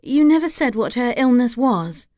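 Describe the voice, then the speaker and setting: A woman's voice: mid-range voice, normal speed, no echo, clean studio sound, lightly acted. A woman voicing a tactful young lady of good manners, courteous and reassuring. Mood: polite.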